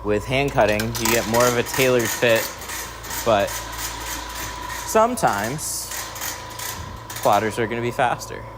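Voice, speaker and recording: A man's voice: mid-range voice, animated, measured pace, long pauses, noisy background. A man hosting a casual livestream, answering viewer questions while he tints a truck in a workshop. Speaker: window tint installer hosting a livestream.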